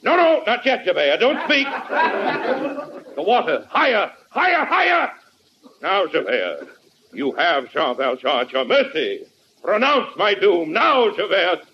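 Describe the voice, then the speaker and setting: A man's voice: high voice, huge pitch swings, slow, heavily acted. Guy doing a doomed convict hero, super dramatic and swooping, grand old radio ham acting, dull hissy sound. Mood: grand despair. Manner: hammy, declamatory, with French phrases. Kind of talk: mock-melodramatic 1940s radio acting. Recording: muffled, noisy 1940s radio broadcast.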